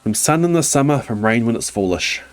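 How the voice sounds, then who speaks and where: A man's voice: mid-range voice, animated, a little fast, faint music behind, natural voice. A man voicing a big, protective kangaroo in a storybook read aloud, with an eager, raised voice. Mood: earnest.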